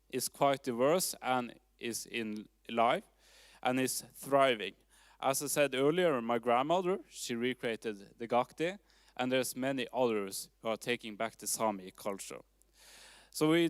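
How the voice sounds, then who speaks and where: A man with a Norwegian accent gives a presentation, his voice sitting at a medium pitch. The tone is smooth and resonant, recorded close and dry. He speaks in a measured way, with fillers and restarts along the way. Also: dry recording. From Norway. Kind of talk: presentation talk. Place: town hall forum.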